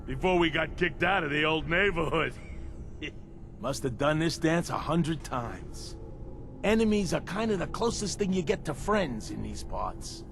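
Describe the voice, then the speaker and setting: An actor playing a boastful, tough-talking gangster boss with a sentimental streak in a video game, using old-time mobster slang. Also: male voice, fairly high pitch, expressive, measured pace, creaky, background noise. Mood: gruffly nostalgic. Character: blustering gangster boss, male, sentimental under the swagger.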